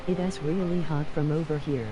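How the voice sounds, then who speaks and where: A woman's voice: sits low, steady tone, normal speed, over music, rough recording. A woman making short, casual remarks of stream chat.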